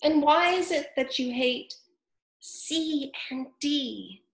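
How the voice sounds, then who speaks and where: A woman's voice: sits high, takes it slowly, gravelly, fairly bright tone, pitched above the performer's own voice, full character voice. A woman reading as an eager young storybook girl. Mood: earnest. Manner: earnest and pleading. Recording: roomy echo.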